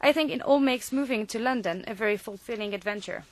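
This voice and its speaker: A woman with a higher voice presents a student radio show, reading her script in a clear, even way. The studio sound is close and dry. French is her first language. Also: fast talker, no echo.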